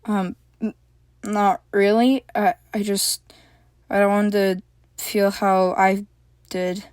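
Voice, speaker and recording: A woman voicing a frightened, anxious young girl who stammers and rushes her words. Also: level tone, a little mellow, clear, resonant tone, no room sound, studio quality, natural voice. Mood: hesitant. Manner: stammering, frantic, high-pitched.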